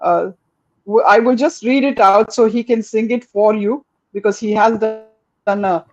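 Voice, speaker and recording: A woman's voice: fairly high pitch, measured pace, dry recording. Woman host, dull lo-fi line. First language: Tamil. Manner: warm, rambling, with many restarts. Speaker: podcast host. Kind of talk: casual interview chat.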